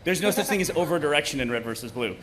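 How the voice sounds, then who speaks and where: A man's voice: on the high side, animated, very fast, a bit bright, low-quality recording. A man hosting a live stage event, bantering with the audience in a playful, teasing manner. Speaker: host of a live table read. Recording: live stage PA with clear background noise.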